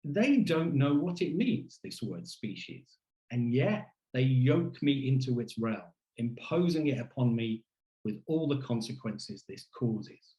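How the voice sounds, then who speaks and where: A man delivering a scripted first-person lecture in a deliberate, rhetorical and wry manner, as a keynote speaker. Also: mid-range voice, very animated, mellow, roomy sound, poor audio.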